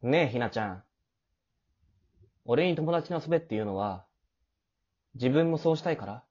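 A woman playing a clingy, teasing, possessive teenage boy in love, with a husky, breathy, languid voice, in an amateur romantic audio drama. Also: rumbling low, lively intonation, moderate pace, a little mellow, full character voice. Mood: sulky, probing. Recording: dull, lo-fi home recording.

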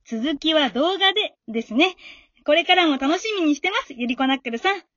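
An amateur actress playing a friendly, encouraging female fan who talks politely and gives gentle advice in a radio drama. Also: high voice, lively intonation, brisk, no room sound, dull audio. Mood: knowing, secretive. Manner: polite, supportive, gently advising.

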